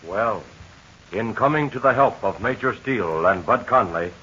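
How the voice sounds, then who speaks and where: A man's voice: lowish voice, expressive, moderate pace, bright, forceful tone, a little hiss or hum. A man announcing a radio serial in scripted, emphatic narration. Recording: dull old radio transcription.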